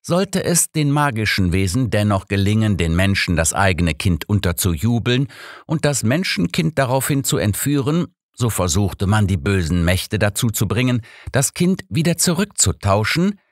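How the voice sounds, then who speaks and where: A man reading an elderly, learned detective in an audiobook, with a measured, formal, explanatory and calmly assured way of talking. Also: lowish voice, no room sound, clean studio sound, close to an everyday voice. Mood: lecturing.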